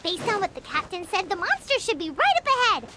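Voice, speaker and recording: A woman voicing a tiny, excitable, childlike fairy companion in an anime-style video game, with a squeaky voice. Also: a little fast, background noise. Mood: anxious, eager. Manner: excitable, exclamatory.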